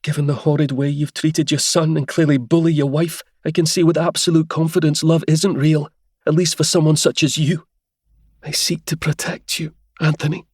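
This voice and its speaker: A man voicing a bold young woman who answers a tyrant with quiet, firm calm. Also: a little high, moderate pace, slightly rough, no room sound, studio quality, pitched above the performer's own voice. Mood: calm defiance.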